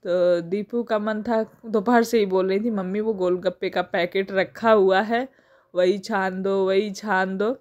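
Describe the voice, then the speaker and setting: A woman's voice: mid-range voice, steady tone, a little slow, a little mellow, muffled recording. A woman in her thirties from India, chatting casually through a family vlog, her throat sore. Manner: chatty, homely, laughs often. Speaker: family vlogger. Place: home and market.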